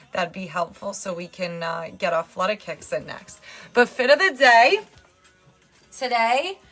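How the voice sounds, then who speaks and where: A woman's voice: mid-range voice, pushed and bright. A woman with a New Jersey accent chatting casually on a fitness livestream. She jokes around and greets viewers by name. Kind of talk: casual live-stream chat.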